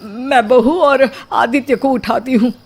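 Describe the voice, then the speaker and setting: Woman playing a slow-paced, fretful mother-in-law. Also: a little high, fairly even intonation, husky. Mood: worried, insistent. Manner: anxious, complaining, melodramatic. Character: fearful, fretful middle-aged Indian mother-in-law, comically dramatic.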